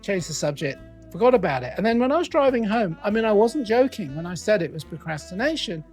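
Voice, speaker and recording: A middle-aged man from England speaks with a British accent over a remote call, a coach guesting on an interview. He tells his story with animated swings, acting out his own inner voice. Faint music plays underneath. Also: high voice, quick pace. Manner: animated, acts out his own quoted thoughts. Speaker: guest, accountability coach and author.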